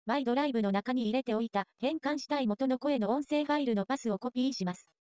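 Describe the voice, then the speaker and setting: A woman reading scripted narration for a software tutorial. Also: high-pitched, fast talker, dry recording, clean studio sound.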